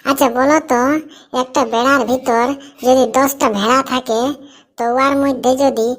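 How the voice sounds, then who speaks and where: High-pitched nosy cartoon sidekick voiced by a woman. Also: level tone, normal speed, natural voice. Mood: nosy, baffled. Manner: chatty, questioning, colloquial. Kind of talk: cartoon comedy dialogue.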